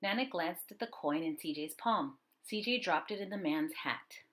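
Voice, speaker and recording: A woman reading a children's story aloud in an expressive narrating style. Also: fairly low pitch, normal speed, a little hiss or hum. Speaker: storybook reader.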